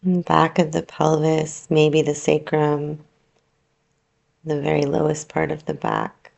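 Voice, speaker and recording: Female meditation guide, heavy vocal fry, very even intonation, soothing delivery with long pauses. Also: sits low. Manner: soothing, unhurried, gently instructing with long pauses. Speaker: yoga nidra teacher.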